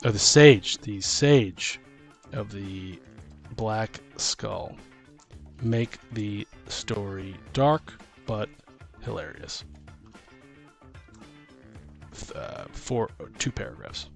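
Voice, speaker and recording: A man co-hosting a casual tabletop gaming podcast, talking and reading aloud in a halting way, stumbling over words. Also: fairly low pitch, sing-song, vocal fry, faint music behind. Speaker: podcast co-host.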